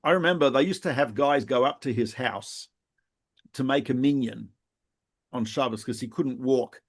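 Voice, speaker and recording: A middle-aged man from Australia swaps stories with a friend on a podcast, with a voice of ordinary pitch. He tells his anecdotes with animation and laughs at them. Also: normal speed. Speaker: podcast co-host, rabbi. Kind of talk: casual reminiscing conversation.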